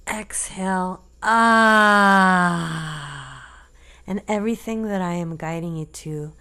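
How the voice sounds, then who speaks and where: A woman leading a guided meditation in a gentle, deliberate manner, leaving long pauses between short phrases. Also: fairly low pitch.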